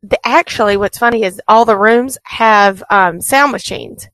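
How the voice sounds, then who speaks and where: A woman's voice: medium pitch, a little slow, fairly bright tone, no echo. A female radio co-host chatting on a morning show, wry and teasing. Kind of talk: casual morning radio banter. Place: radio studio. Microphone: studio microphone.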